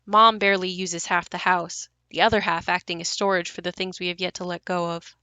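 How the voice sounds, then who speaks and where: An actress plays a worried grown daughter telling family memories in an audio drama. Her voice is bright and forward, close and dry, with a conversational, matter-of-fact delivery. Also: lowish voice, level tone, brisk, no room sound. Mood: wry concern. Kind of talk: audio play monologue, conversational.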